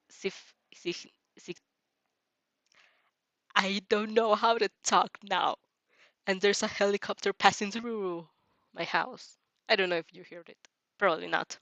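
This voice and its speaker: A woman's voice: mid-range voice, fairly bright tone, dry recording, highs cut off. A woman narrating a story in an informal way, stumbling now and then and slipping into casual joking asides. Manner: informal, stumbles over words and jokes about it. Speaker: host reading a fan fiction aloud with reactions.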